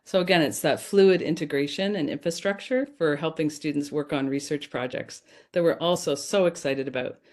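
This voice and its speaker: A woman's voice: low-pitched, normal speed, few pauses, a little mellow. A woman presenting her research in a conversational academic lecture, speaking informally and addressing audience members directly.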